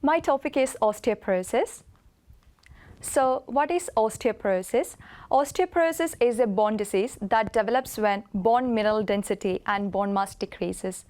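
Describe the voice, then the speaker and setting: A woman's voice: a little high, normal speed, a little mellow. A woman in her twenties from India, a medical student reading a lecture presentation steadily, with an occasional slip. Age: 20s. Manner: reads steadily from slides, occasional slips.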